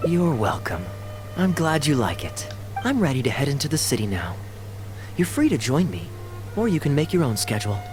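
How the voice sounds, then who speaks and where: An actor voicing a suave, teasing young male knight in a fantasy video game, smooth and playfully eloquent. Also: mid-range voice, big pitch swings, normal speed, natural voice. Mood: breezy, charming. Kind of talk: polished video game character acting. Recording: game dialogue over background music.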